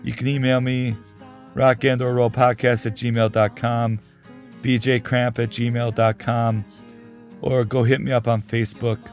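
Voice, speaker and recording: A man's voice: mid-range voice, little pitch movement, a little slow, darkish tone, faint music behind. A man hosting a podcast, giving frank, conversational opinions in a casual solo talk while losing his voice. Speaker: podcast host.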